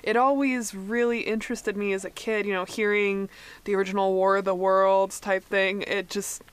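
A woman's voice: mid-range voice, flat delivery, normal speed, clean tone, no room sound. A woman co-hosting a podcast in casual conversation. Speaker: podcast co-host. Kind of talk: casual chat.

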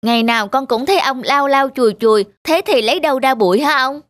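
A woman's voice: high-pitched, fast talker, faint music behind, close to an everyday voice. A woman voicing an eager, respectful young male servant in a moral folk-tale cartoon, polite and inquisitive. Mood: respectful, admiring.

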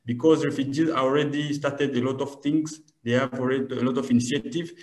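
A man's voice: mid-range voice, fairly even intonation, fairly quick, a little mellow. A man giving his remarks as a panelist on a video call. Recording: echoey room over video call.

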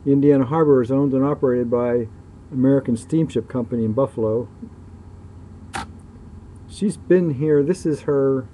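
Male amateur video commentator, very dark relaxed tone, medium pitch, unhurried pauses, clear ambient vehicle noise. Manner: matter-of-fact, halting, pausing between phrases. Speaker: local shipping news publisher filming ships. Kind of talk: casual off-the-cuff commentary on video. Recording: muffled dark sound, clear background noise.

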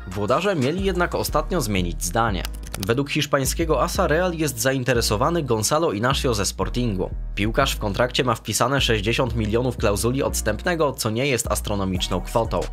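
Young man reading football news. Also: mid-range voice. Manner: brisk, even newsreader delivery of results and transfer news. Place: small room with brick wall and shelves.

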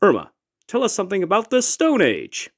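A man's voice: high-pitched, huge pitch swings, brisk, heavily acted. A man voicing a wry, slightly wicked history teacher in an amateur comic fandub. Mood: sly amusement.

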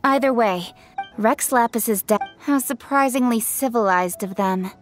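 Casting: woman playing brisk young noble official, fairly high voice, frank, formal, self-assured fantasy game character. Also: faint music behind, close to an everyday voice. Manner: assertive, blunt, formal.

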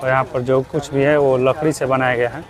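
A young man from Bihar narrating a walking vlog at an outdoor crafts fair on a lapel mic, repeating himself and loudly asking vendors for prices. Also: mid-range voice, flat delivery, a little fast. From Bihar, India. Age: young adult. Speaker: vlogger. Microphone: clip-on lapel mic.

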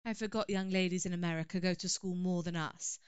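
A woman's voice: lowish voice, fairly even intonation, brisk, darkish tone, smooth, close to an everyday voice. An actress playing a haughty, condescending young woman in an audio drama. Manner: condescending, prim.

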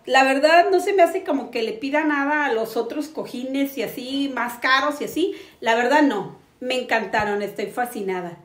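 A woman, chatting enthusiastically to camera as she hosts a casual home product review. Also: sits high. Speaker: host of a home decor channel. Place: living room on a sofa, indoors. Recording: roomy echo.

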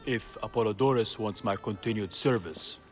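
A man's voice: lowish voice, normal speed, lightly acted. An actor playing a wily, evasive male merchant from ancient Egypt in a video game, sly and teasing in manner. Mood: sly, bargaining. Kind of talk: video game character acting. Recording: music behind the voice.

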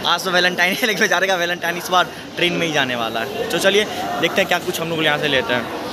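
A man's voice: high-pitched, quick pace. A young man talking casually to viewers in a travel vlog, joking with friends, filmed on a phone at arm's length on a busy railway platform. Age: young adult. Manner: chatty, joking, addresses viewers directly. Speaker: vlogger. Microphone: phone camera held at arm's length. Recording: noisy outdoor station ambience.

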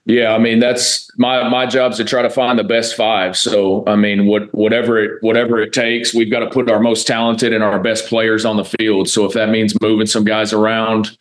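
American male coach, 30s, low register, very flat delivery, press-conference answers, measured and matter-of-fact. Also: poor audio. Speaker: college football offensive coordinator. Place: indoor press room, seated at a table before a backdrop.

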